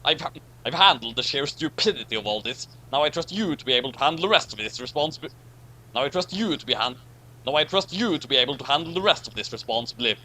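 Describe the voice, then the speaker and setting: A man's voice: mid-range voice, animated, fast, sharp tone, a little hiss or hum, rough recording. A man playing a stern starship captain from a fan fiction reading, commanding and exasperated. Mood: weary, exasperated.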